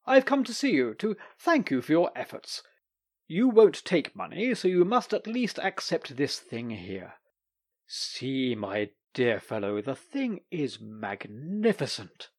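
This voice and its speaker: A man voicing a fussy middle-aged male doctor in a storybook reading, speaking emphatically with a slightly husky voice. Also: on the high side, sing-song, slow, exaggerated voice. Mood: hearty. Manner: emphatic, indignant.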